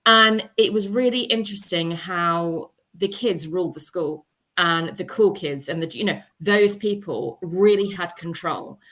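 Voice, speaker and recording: A woman author talks on a discussion panel in a slightly low voice. Her style is conversational and reflective, and she unfolds her thoughts at length like someone telling a personal story. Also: measured pace, a bit bright.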